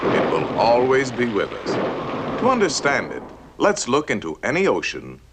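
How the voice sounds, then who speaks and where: A man narrating a 1950s educational film in a warm, playful storytelling manner that slips into rhyme. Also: mid-range voice, huge pitch swings, moderate pace, very noisy.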